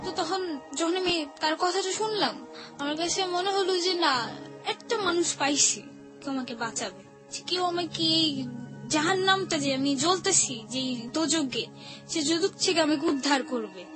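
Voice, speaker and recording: A young woman with a Bangladeshi Bengali accent gives personal testimony as a guest, tearfully and haltingly. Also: extremely high pitch. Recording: music under the voice. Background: background music.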